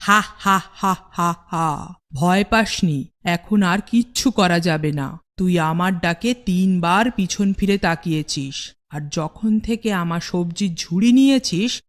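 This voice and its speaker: A woman voicing a gloating, hungry, menacing witch with slow cackling laughter in a fairy-tale cartoon. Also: lowish voice. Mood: gloating menace. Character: gloating, hungry, man-eating witch of the forest.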